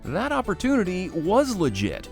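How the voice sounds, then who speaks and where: Female reporter, very animated pitch, scripted feature voice-over, music bed clear beneath. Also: moderate pace. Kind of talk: scripted news narration.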